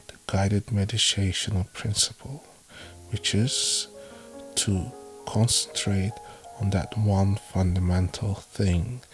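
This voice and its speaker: Slow, low-voiced man guiding meditation. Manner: measured, instructive, with frequent pauses between phrases. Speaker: guided meditation narrator. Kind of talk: guided meditation, hypnosis narration. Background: background music.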